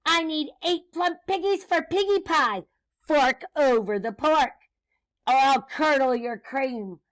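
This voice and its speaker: A woman voicing a bossy, short-tempered witch from a children's storybook, with cackling, screeching, shouted demands. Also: high-pitched, expressive, takes it slowly, no room sound, pitched above the performer's own voice, full character voice. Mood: furious.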